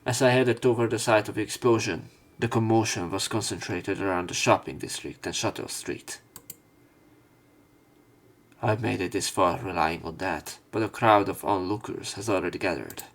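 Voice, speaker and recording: A man's voice: lowish voice, fairly even intonation, moderate pace. A man reading a visual novel aloud as a let's play narrator, dramatizing the script. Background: background music.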